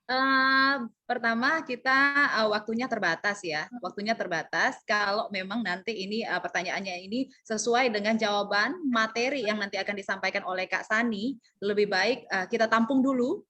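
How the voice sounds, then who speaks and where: A woman's voice: mid-range voice, normal speed, sharp tone. A woman moderating a webinar Q&A over a video call, upbeat, calling on askers by name.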